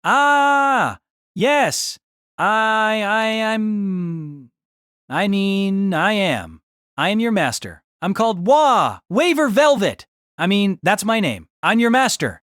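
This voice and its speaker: A man's voice: sits high, sing-song, slow, a bit bright, clean tone, no echo, clean studio sound, exaggerated voice. An actor playing a flustered young male mage, easily frightened and stammering in an audio drama. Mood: nervous, bluffing. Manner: stammering, panicky.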